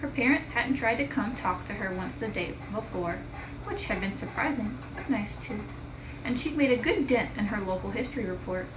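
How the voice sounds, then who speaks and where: A woman's voice: mid-range voice, animated, moderate pace, recorded from a distance. A woman teacher reading a children's story aloud expressively, recorded in an echoey, noisy room. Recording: echoey room with clear background noise.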